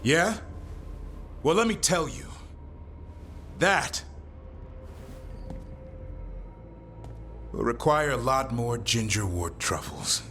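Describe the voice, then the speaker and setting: A man's voice: mid-range voice, sing-song, takes it slowly, fairly bright tone, soft background music, heavily acted. An actor voicing a wry monster-hunting warrior in a video game, a man searching for purpose.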